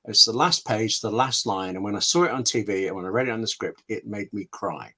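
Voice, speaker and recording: A man's voice: lowish voice, lively intonation, fairly quick, pushed and bright, slightly rough. A man answering questions as a scriptwriter guest in a conversational way, telling anecdotes and thinking aloud with asides and questions to himself. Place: home room with books, on a video call.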